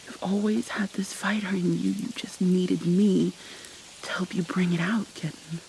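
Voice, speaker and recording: A woman playing a possessive yandere captor in an ASMR roleplay, tender and menacing, coaxing with pet names in a soft, breathy voice. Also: fairly low pitch, fairly even intonation, a little slow, a little hiss or hum, rough recording. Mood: cold menace.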